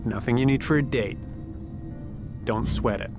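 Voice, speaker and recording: An actor plays a male medical technician in a science fiction audio drama. His voice is mid-range and casual, with a flippant, offhand way of explaining. Music is clearly audible behind him. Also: medium pitch, normal speed, lightly acted. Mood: breezy, offhand.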